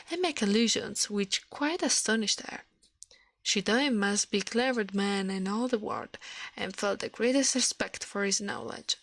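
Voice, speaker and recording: A woman reading a fairy tale aloud in a soft ASMR style, careful and a little halting, close to the microphone. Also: fairly high pitch, creaky, dry recording, low-quality recording.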